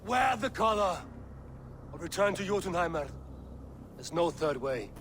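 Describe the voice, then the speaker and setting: An actor playing a stern, weary but defiant Norse god leader in a video game, with solemn, formal speech. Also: male voice, high voice, big pitch swings, measured pace, a bit bright, slight background noise, full character voice. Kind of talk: dramatic video game acting.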